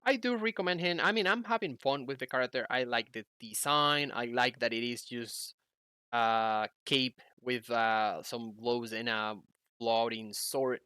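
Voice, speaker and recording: A man's voice: mid-range voice, measured pace, bright, forceful tone, smooth, dry recording, clean studio sound. A young man giving casual game commentary, explaining things and thinking aloud as he plays. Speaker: gaming YouTuber. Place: indoor room at a computer. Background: game music.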